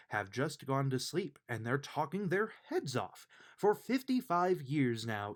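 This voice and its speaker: A man reads a rhyming children's storybook aloud at an unhurried pace. His medium-pitched voice swings widely up and down, giving the verses an expressive, sing-song lilt. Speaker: reader of a children's book.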